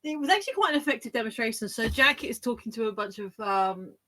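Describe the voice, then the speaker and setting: A woman's voice: on the high side, lively intonation, fast talker, bright, forceful tone, slight background noise. A woman co-hosting a podcast, explaining things in casual conversation. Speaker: podcast co-host.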